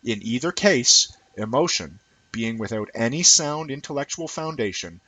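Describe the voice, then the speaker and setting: A man reading a written essay aloud in an emphatic, rhetorical manner with deliberate phrasing. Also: mid-range voice, lively intonation, sharp tone. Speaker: reader of an essay. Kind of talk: scripted essay reading, audiobook-like narration.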